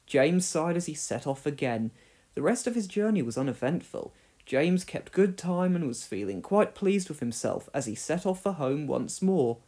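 High-voiced man reading a children's story. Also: sing-song, moderate pace, dark tone. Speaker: story reader. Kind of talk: scripted children's storybook narration.

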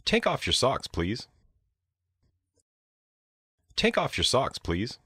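A man reading short example sentences carefully and clearly for a listening lesson, in a dry studio voice-over. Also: mid-range voice, huge pitch swings, takes it slowly, sharp tone, slight background noise. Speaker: listening practice speaker. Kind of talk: slow read example sentences.

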